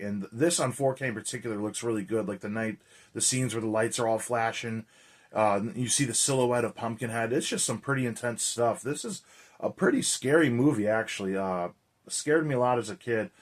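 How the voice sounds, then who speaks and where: Hoarse, chatty male horror vlogger. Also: medium pitch. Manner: energetic, chatty, loose with fillers. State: hoarse, raspy from yelling. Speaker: horror movie YouTuber. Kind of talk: casual vlog chat.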